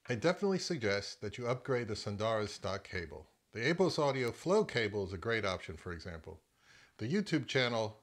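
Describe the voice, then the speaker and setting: A middle-aged man with a fairly low voice talks through audio gear in a casual review. He is conversational and freely gives his opinions, as if chatting to viewers. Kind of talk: casual product review narration.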